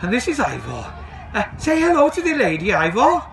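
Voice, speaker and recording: A man voicing an earnest, worried, excitable male engine driver in a storybook reading. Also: extremely high pitch, a little fast, gravelly, pitched above the performer's own voice, heavily acted, poor audio. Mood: worried, reluctant. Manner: earnest, indignant. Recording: outdoors with background bustle.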